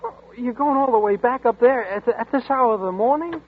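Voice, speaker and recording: A man voicing a frightened young man accused of murder, nervous and halting, in 1940s radio drama. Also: very high voice, a little hiss or hum. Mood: anxious. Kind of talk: 1940s radio drama, first-person narration. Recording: tinny old radio broadcast with music.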